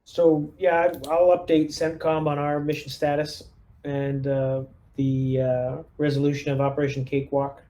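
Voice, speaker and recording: A man's voice: on the high side, level tone, normal speed, mellow. A man talking casually as a player in a tabletop roleplaying game, laying out his squad's next steps. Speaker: player acting as squad lieutenant.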